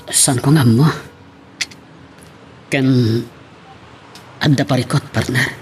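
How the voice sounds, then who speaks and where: A man plays a resentful young husband in a Filipino audio drama, speaking with an Ilocano accent. His pitch is middling, and a light ambient noise runs under the voice. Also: fairly even intonation, takes it slowly, lightly acted. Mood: confiding, confused. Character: troubled, resentful young husband in a loveless marriage.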